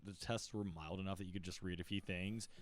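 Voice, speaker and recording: A man's voice: low voice, fairly even intonation, fast talker. A man hosting a podcast in casual chat, loose and swearing often. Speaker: podcast host.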